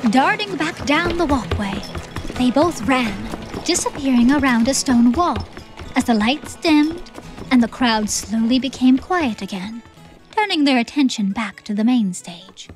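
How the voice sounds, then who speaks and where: A woman's voice: high voice, expressive, measured pace. A woman reading scripted, dramatic story narration as the narrator of an audiobook. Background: background music.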